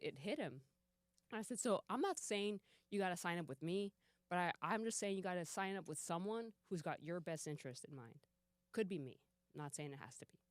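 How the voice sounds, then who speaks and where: Lively woman in her forties. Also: mid-range voice, no echo, studio quality. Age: 40s. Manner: animated storyteller, swears casually, acts out dialogue. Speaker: podcast guest. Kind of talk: casual chat.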